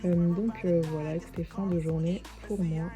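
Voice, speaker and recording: A woman's voice: lowish voice, fairly even intonation, normal speed, music in the background. A woman in her twenties vlogging her week as a finance student, chatting casually with many fillers. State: stuffy with a cold. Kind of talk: casual vlog narration.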